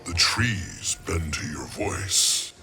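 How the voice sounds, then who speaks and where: A solemn, age-old monster of root and swamp in a video game. The voice is very deep and gravelly. Each phrase comes out slowly and formally, with music playing behind it. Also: male voice, expressive, sharp tone, full character voice. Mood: stern, solemn. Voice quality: gravelly, rumbling. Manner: slow, grave, formal delivery. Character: ancient, solemn male swamp plant monster guarding nature. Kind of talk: video game character dialogue.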